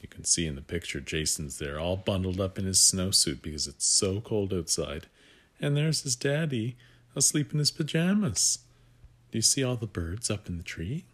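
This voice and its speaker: A man reading a picture book aloud to young children, with gentle asides that guide the listener. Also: deep voice, lively intonation, normal speed, slightly rough.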